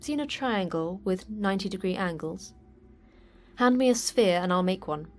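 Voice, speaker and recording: Woman acting as terse facility manager, dry, deadpan, unflappable, mid-pitched, sci-fi audio drama, music underneath. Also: normal speed, close to an everyday voice.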